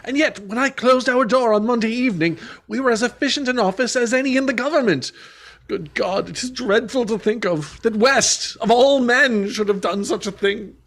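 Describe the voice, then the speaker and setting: A man plays a gruff, middle-aged government clerk in a radio drama, frayed and anxious. His voice is high for a man and husky. He speaks hesitantly, in an agitated manner. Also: normal speed, a bit bright, heavily acted. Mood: distraught. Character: thin, gruff, nervous middle-aged male senior clerk. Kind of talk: radio play acting.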